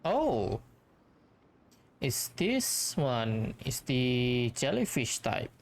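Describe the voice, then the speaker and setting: Low-voiced woman, slow casual gaming commentary. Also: big pitch swings, slight background noise. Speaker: gameplay commentator. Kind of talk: casual let's-play reactions. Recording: game music behind voice. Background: video game music and sounds.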